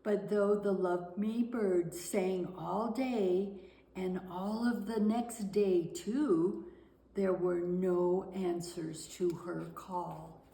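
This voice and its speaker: A woman reading a children's picture book aloud with deliberate, expressive storytelling. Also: fairly low pitch, vocal fry. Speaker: guest storyteller. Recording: roomy, echoing room sound.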